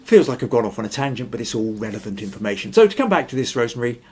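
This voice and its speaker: A man's voice: mid-range voice, sing-song, very fast, a little mellow, husky. An older man hosting a photography podcast from a small home room, chatting in a thoughtful, rambling way and reading out viewer comments. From Scotland. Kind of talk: casual live-stream chat.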